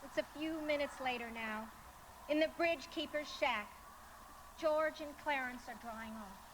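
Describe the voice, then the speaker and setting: A woman narrating a live radio play as a solemn storyteller, measured and dramatic. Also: high-pitched, background noise. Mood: solemn, suspenseful. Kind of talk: scripted narration. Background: music.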